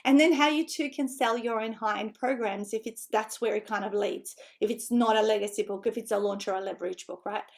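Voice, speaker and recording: A woman's voice: mid-range voice, a little fast, gravelly, few pauses. A middle-aged woman from North Macedonia with a Macedonian-tinged Australian accent, a native Macedonian speaker, energetically presenting an online seminar as a book-writing coach. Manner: energetic, chatty, frequent tag questions and laughs.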